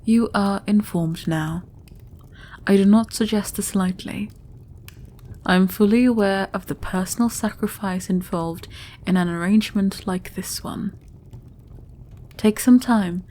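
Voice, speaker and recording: A woman playing a shrewd, regal, mature queen in a fantasy audio roleplay, speaking in a stately, deliberate, formal manner. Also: medium pitch, dark tone, slight background noise, close to an everyday voice. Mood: stern, unyielding. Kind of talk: audio roleplay fantasy acting, addressing listener.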